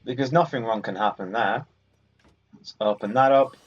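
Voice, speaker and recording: A man's voice: medium pitch, moderate pace, slightly rough. A man giving casual, rambling let's play commentary on a video game. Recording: muffled sound, game music behind. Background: video game music.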